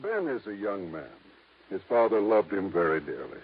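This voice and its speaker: An actor playing a measured, discreet lawyer with a formal, deliberate way of talking in a 1950s radio drama. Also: male voice, lowish voice, lively intonation, breathy edge. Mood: explaining, measured. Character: measured, discreet small-town lawyer guarding a secret. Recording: tinny, narrow-band vintage radio recording.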